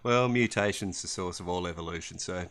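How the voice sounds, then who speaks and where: Deep, bright-toned male podcast co-host. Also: a little fast. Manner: hesitant, dry wit, many ums. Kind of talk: casual chat.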